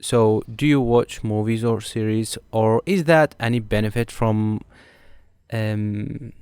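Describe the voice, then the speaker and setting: Smooth male podcast host. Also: on the low side, slow pace, a little mellow, no echo. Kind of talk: casual interview hosting.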